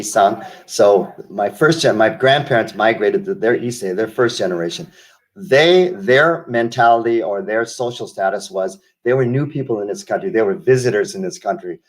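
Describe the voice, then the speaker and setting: An older man from the United States speaks in a lowish voice as an interview guest. He tells his stories slowly and reflectively, doubling back and restarting his sentences. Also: low-quality recording. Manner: reflective storyteller, many restarts and asides. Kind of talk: casual interview conversation.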